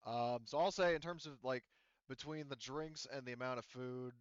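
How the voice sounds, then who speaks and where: A man running a tabletop roleplaying game as game master, explaining things in a halting way. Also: mid-range voice, clean tone, no room sound, highs cut off.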